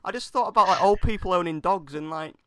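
A man's voice: high voice, fast talker, a crowd in the background. Irreverent British man chatting casually. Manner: laughs through speech, irreverent, sweary. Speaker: podcast co-host presenting researched facts. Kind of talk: casual comedic chat.

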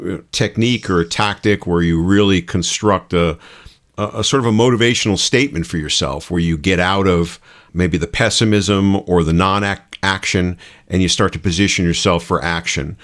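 A man's voice: low-pitched, normal speed, a bit bright. A man co-hosting a podcast, explaining things in a casual, conversational way. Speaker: podcast co-host.

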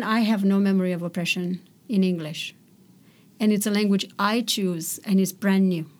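A woman's voice: mid-range voice, darkish tone. Thoughtful woman poet. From Romania. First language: Romanian. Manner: thoughtful, measured, searching for words. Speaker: poet, interview guest. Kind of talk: poetry reading and reflective interview answers.

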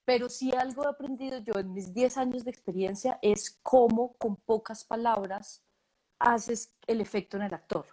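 A woman, a casting director giving relaxed, thoughtful interview answers with many fillers and restarts. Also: fairly high pitch, moderate pace, poor audio.

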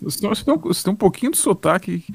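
A man's voice: high-pitched, lively intonation, racing, vocal fry, husky, no room sound. A man in a casual podcast chat, joking and guessing aloud. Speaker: podcast panelist.

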